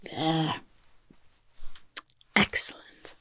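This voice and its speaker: A woman voicing a pompous, gleefully chaotic, doting goblin overlord in a soft-spoken ASMR roleplay, with a breathy, husky near-whisper. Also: very slow. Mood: proud, delighted. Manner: speaks of self in third person, grandly self-satisfied.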